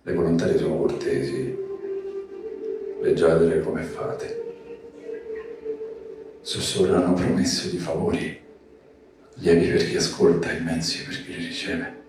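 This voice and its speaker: A man giving a slow literary reading of poetic prose. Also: low-pitched, little pitch movement, music in the background. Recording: roomy echoing space, distant mic, faint noise.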